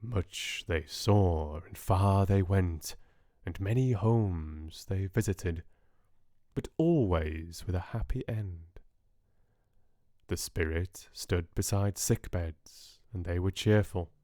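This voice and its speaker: Low-voiced man narrating, measured and expressive. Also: no room sound, studio quality. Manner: expressive, dramatic storytelling. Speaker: audiobook narrator. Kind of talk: scripted narration of classic literature.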